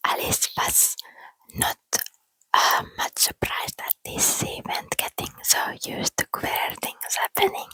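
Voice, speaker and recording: Softly whispering woman reading a story. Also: slow, no echo, poor audio. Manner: soft steady whisper. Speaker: narrator.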